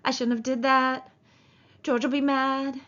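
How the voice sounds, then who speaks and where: A woman's voice: sits high, little pitch movement, a little slow, a bit bright, highs cut off, pitched above the performer's own voice. A woman voicing a big, simple-minded man in an audiobook, childlike and fearful of trouble, with rustic, ungrammatical speech. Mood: anxious, bewildered. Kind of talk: audiobook character voice.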